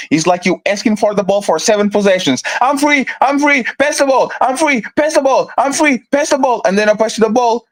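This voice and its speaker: A man's voice: high voice, rough recording. Man lecturing his co-host, repeating himself. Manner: lecturing, repeats words, uses basketball comparisons. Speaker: podcast co-host and moderator. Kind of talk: heated argument among podcast hosts.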